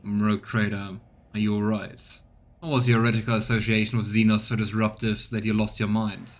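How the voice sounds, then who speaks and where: A man's voice: fairly low pitch, level tone, fairly quick, lightly acted. A man voicing a stern, contemptuous interrogator in a game, formal and accusatory. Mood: mocking.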